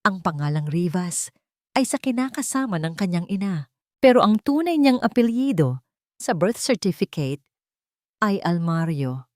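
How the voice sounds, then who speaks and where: A woman's voice: on the low side, big pitch swings, normal speed, no room sound, clean studio sound. A woman reading a dramatic scripted story as an audiobook narrator.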